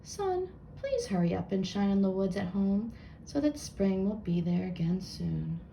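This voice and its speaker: A woman's voice: mid-range voice, big pitch swings, a little slow, vocal fry, dark tone, echoey room, distant mic, slight background noise. A woman voicing a small, dreamy female forest elf in a storybook, exclaiming in a singsong way. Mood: pleading.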